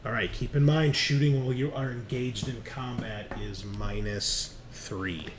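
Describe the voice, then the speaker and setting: A man's voice: medium pitch, steady tone, a little slow, heavy background noise. A man running a tabletop roleplaying game, casually explaining rules and narrating the action with some swearing. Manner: casual, profane. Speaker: game master.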